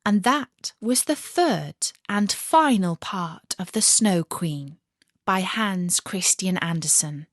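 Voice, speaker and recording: A woman storyteller reading a scripted fairy tale in an expressive, measured way. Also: medium pitch, no room sound, clean studio sound.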